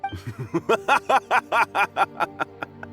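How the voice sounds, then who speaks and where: A man's voice: medium pitch, animated, unhurried, faint music behind, natural voice. A man voicing a bullying, sneering thug in a video game, with mocking, drawling taunts. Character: sneering, bullying male merchant-guild thug, mocking and threatening.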